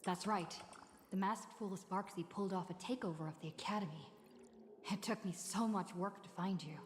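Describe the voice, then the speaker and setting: A woman's voice: medium pitch, normal speed, a little hiss or hum, close to an everyday voice. An actress voicing a bold, cheeky young female traveler in a game. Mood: confident. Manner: cheeky, lively, confident. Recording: echoey game audio with reverb and clear music.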